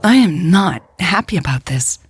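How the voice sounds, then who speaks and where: Guarded, husky woman, played by a narrator. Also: on the low side, lively intonation, moderate pace, full character voice. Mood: guarded, reluctant. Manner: hesitant, clipped, guarded. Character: Catherine, guarded protective woman, reluctant and tense.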